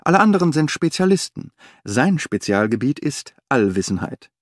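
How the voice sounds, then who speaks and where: Brief: a man reading an impatient, sardonic audiobook detective, lively pitch swings, dry close sound. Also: moderate pace, no room sound. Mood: explaining, animated. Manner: animated, sardonic, declaiming. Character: restless, impatient middle-aged male detective. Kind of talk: audiobook character voice.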